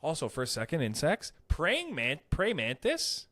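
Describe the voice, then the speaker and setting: A man's voice: on the high side, huge pitch swings, normal speed, pushed and bright. A young man co-hosting a podcast, rambling through crude stories in casual banter and acting out quoted voices. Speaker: podcast co-host.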